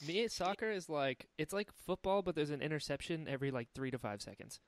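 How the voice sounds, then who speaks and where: A young man from North Carolina hosting a podcast, upbeat and quick to laugh, often repeating his words. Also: on the high side, animated, clear, resonant tone. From North Carolina, USA. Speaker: podcast host. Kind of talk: casual podcast chat.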